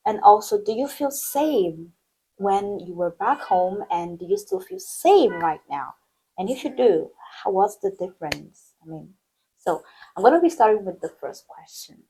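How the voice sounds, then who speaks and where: A woman's voice: mid-range voice, very animated, unhurried, warm tone, slight background noise, poor audio. A woman hosting her own vlog channel, talking casually and upbeat, speaking straight to her viewers and interviewing a guest. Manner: chatty, upbeat, addresses viewers directly. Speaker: vlog host. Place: indoors in China.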